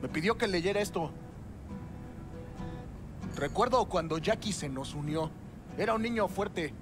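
Game role: earnest mourner reading condolences, high register, formal and stumbling, solemn tone, guitar music underneath. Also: male voice, lively intonation, moderate pace. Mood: solemn, nervous. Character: respectful male mourner reading out a condolence message. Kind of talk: cinematic video game acting. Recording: music behind the voice.

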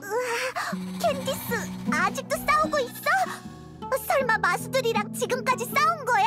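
A woman voicing a small, excitable, childlike fairy girl companion in a video game, exclaiming and full of curiosity. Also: extremely high pitch, a little slow, loud music behind the voice. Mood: startled.

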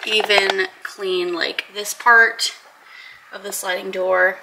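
Young woman chatting excitedly to her camera at home, roomy echo, noisy background. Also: medium pitch, slow. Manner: chatty and excited, laughs between words. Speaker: vlogger. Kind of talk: casual vlog talk to camera. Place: home living room and kitchen, indoors. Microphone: handheld vlogging camera at arm's length.

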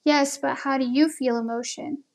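A woman's voice: high-pitched, steady tone, moderate pace, gravelly. A woman video host talking casually in a questioning, conversational way, as if on a phone call.

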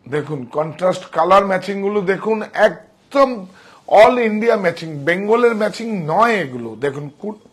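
Husky, emphatic middle-aged salesman. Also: sits high, expressive, a little fast. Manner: emphatic, repetitive. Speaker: shop owner and presenter. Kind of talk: casual sales demonstration. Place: indoor saree shop showroom. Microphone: wireless clip-on mic on chest.

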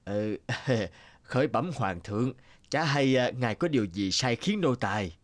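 An actor playing a servile royal courtier in a cartoon, obsequious and formal. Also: male voice, medium pitch, expressive, measured pace, a little hiss or hum, close to an everyday voice. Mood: fawning.

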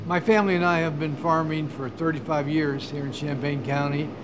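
A middle-aged man from Ohio, a farmer and biodiesel producer, speaking in an interview in a measured, earnest, deliberate way. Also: fairly high pitch, steady tone, background noise. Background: background music.

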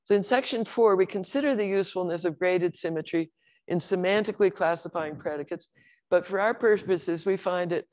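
An older woman lecturing on logic and semantics in a measured, explanatory way, with occasional restarts. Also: fairly low pitch, darkish tone, poor audio. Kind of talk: academic lecture on slides.

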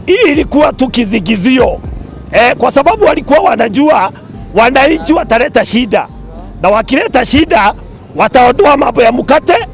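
A Kenyan man speaking as a spokesman at an outdoor street protest, forceful and shouting at times into a handheld mic. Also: extremely high pitch, very animated, brisk, gravelly, faint music behind. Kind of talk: impassioned street speech. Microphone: handheld mic held up to him.

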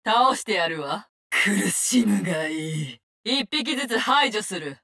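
A vengeful female assassin from a tactical video game. Her voice sits at a medium pitch. She delivers short, commanding lines with icy contempt and menace. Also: lively intonation, slow pace, bright, forceful tone, heavily acted. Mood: menacing. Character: menacing, cold, vengeful woman poison-gas assassin. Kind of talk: video game character barks in Japanese.

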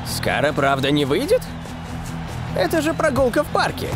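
Excitable, high-pitched football commentator. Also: male voice, moderate pace, over loud music, full character voice. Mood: excited. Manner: animated, dramatic. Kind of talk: cartoon sports commentary.

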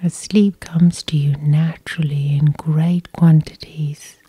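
Very breathy whispering woman. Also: low-pitched, flat delivery, slow. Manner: whispering, gentle, soothing. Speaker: hypnosis guide, host. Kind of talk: whispered sleep hypnosis, ASMR guided meditation.

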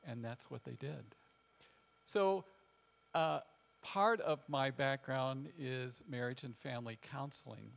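Older male guest speaker, Midwestern US accent, gravelly with vocal fry, thoughtful pauses, telling personal stories. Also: medium pitch, a bit bright. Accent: American Midwestern. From west central Illinois, United States. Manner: halting, reflective, with frequent pauses. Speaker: guest speaker, family counselor. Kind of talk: informal presentation with personal storytelling. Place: large church hall with wooden floor, small seated audience.

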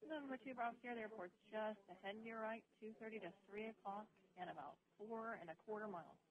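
A woman air traffic controller speaks quickly over aviation radio. Her instructions follow set procedure, with informal asides mixed in. The sound is narrow and tinny, with some hiss. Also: medium pitch.

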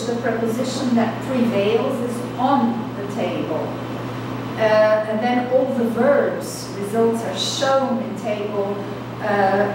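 A middle-aged woman giving an academic lecture in a measured, explanatory way. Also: on the high side. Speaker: university lecturer. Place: small indoor lecture room. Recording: echoey room, distant mic, clear background noise.

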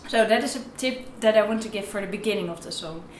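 A woman's voice: medium pitch, fast, recorded from a distance, slight background noise. A young woman giving an instructional tutorial to camera as a music teacher, recorded indoors in a bare room. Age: young adult. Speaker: violin teacher. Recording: roomy, echoey indoor sound.

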